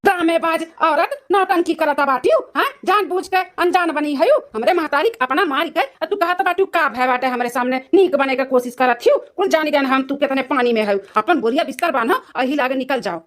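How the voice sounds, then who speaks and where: A woman's voice: high voice, brisk, hardly any pauses, natural voice. A woman voicing a hot-tempered, domineering cartoon husband with an Awadhi accent, in a blustering manner. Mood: angry scolding. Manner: scolding, blustering. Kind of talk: amateur cartoon dialogue.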